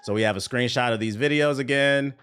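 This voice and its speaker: A man's voice: medium pitch, brisk, a bit bright, smooth. A young man livestreaming, joking loosely through reaction commentary and muttering asides under his breath. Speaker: streamer. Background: faint background music.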